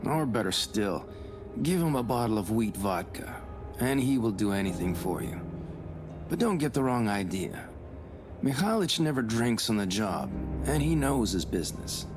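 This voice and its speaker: An actor playing a deferential, earnest local police investigator in a period detective visual novel. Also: male voice, lowish voice, sing-song, moderate pace, music in the background. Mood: helpful, confiding. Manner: respectful, earnest. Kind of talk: scripted visual novel voice acting.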